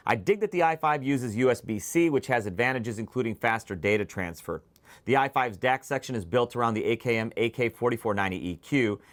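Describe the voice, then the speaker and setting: A man with a fairly low voice presents a product preview as host. His delivery is scripted but enthusiastic, addressing viewers directly and leaning firmly on key words. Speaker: host and presenter of a headphone show.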